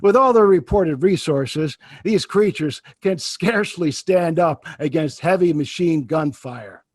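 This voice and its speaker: A brisk army captain on radio. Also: male voice, fairly high pitch, lively intonation, darkish tone, no echo. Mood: confident. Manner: brisk, assured, then hesitant. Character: confident male army signal captain reporting. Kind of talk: radio drama acting.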